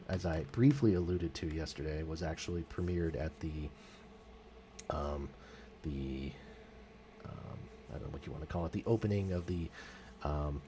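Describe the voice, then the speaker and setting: A man's voice: extremely low pitch, warm tone, slight background noise. A man talking casually about music history for his channel, with frequent ums and self-corrections. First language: English. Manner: hesitant, many fillers and self-corrections. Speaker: music vlogger. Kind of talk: casual spoken music commentary.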